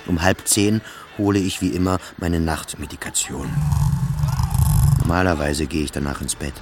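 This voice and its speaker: A man's voice: deep voice, fairly even intonation, moderate pace, very noisy, natural voice. A man playing a depressed, anxious, self-loathing psychiatric patient who narrates in a confessional radio drama. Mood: weary, dazed. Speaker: narrator of an autobiographical radio play. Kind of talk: scripted first-person radio drama narration. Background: music behind the voice.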